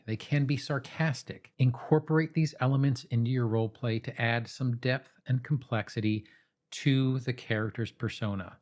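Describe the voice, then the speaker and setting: A man narrating a scripted tabletop roleplaying explainer in a measured, instructive way, with casual asides, speaking directly to the listener. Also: mid-range voice. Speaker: host of a tabletop roleplaying advice channel.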